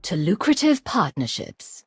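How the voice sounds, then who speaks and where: A woman's voice: fairly low pitch, wildly swooping pitch, a little slow, breathy edge, no room sound, heavily acted. A woman playing a composed, dry-humored adventurer in a video game cutscene.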